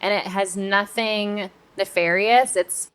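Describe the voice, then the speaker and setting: An American woman talks as a podcast co-host in a loose, conversational style. Her delivery is informal and animated, with a mid-range voice and some casual swearing. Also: medium pitch, takes it slowly, clean tone. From United States.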